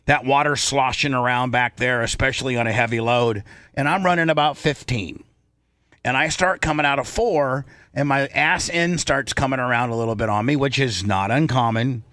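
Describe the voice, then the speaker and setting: A man with a forceful, bright voice tells a story in casual talk show style. He is animated and repeats phrases for emphasis, in a very dry studio sound. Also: medium pitch, normal speed, no echo.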